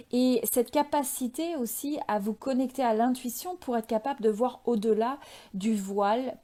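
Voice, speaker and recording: A woman's voice: on the high side, warm tone. A woman giving an unscripted spiritual tarot reading, reflective and hesitant as she searches for her words. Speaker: tarot reader, channel host.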